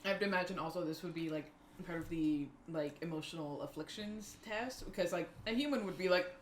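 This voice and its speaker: A woman's voice: on the low side, brisk, slight background noise. A woman chatting casually as a player in a tabletop roleplaying game. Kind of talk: casual chat. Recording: echoey room.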